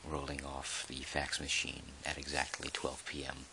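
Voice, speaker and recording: A man playing a measured, dry park employee who dictates an official audio log in a halting, deliberate way, in ASMR roleplay style. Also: rumbling low, completely flat delivery, sharp tone, slight background noise, heavily acted, rough recording. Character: male dinosaur park employee, measured, dryly put-upon record keeper.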